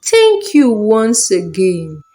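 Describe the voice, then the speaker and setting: A woman voicing a humble elderly village man in a folktale, polite, gentle and pleading, grateful for any kindness. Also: medium pitch, wildly swooping pitch, drawn-out words, heavily acted.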